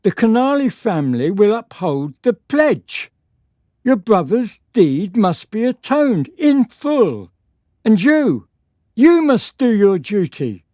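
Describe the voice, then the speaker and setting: A woman playing a stern, evasive lord and father in a period radio drama, speaking in a measured, formal, patronizing way. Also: mid-range voice, sing-song, warm tone, no room sound, clean studio sound. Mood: stern, final.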